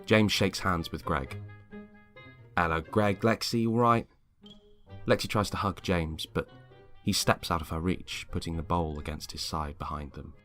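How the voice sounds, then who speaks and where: Low, bright-toned male narrator. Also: fairly even intonation, normal speed, faint music behind. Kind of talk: scripted narration, screenplay reading.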